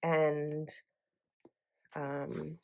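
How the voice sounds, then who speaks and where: A woman's voice: deep voice, flat delivery, extremely slow, slight background noise. A young woman vlogger chatting casually as she decorates a planner, her voice a little froggy this morning, rambling with likes and ums.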